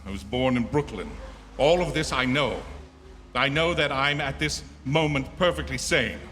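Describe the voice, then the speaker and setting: Actor as anxious radio-thriller traveler, forceful, echoing hall. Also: male voice, mid-range voice, normal speed, slight background noise, close to an everyday voice. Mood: urgent, uneasy. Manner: urgent, confessional, dramatic delivery. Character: anxious 36-year-old traveler recounting an uncanny ordeal. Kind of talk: old-time radio thriller acting. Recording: echoey theatre hall with music underneath.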